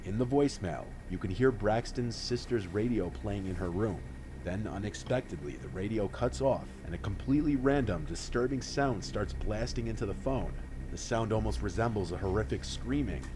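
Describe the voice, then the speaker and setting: Guy reading a scary story, kinda low voice, serious and measured, noisy background. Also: animated. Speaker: narrator of a scary story video. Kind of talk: scripted horror story narration.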